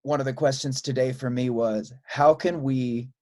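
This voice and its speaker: Male podcast host, average pitch, informal conversational interviewer with halting, searching phrasing. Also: fairly even intonation, a little mellow.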